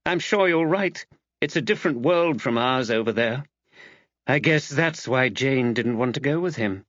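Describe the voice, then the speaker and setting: Man as a blunt, steely village busybody woman, animated. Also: medium pitch, normal speed, dry recording, muffled recording, lightly acted. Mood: blunt, sceptical.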